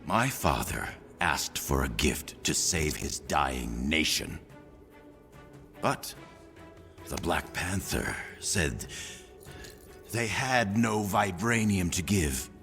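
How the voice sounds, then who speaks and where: Villain for a game cutscene: vengeful, sardonic man, theatrical swings in pitch, unhurried menace, music underneath. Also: animated, gravelly, fairly bright tone, exaggerated voice. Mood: bitter, mocking. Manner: taunting, theatrical, deliberate. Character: vengeful, menacing male arms-dealer villain, sardonic and cruel. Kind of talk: video game villain acting.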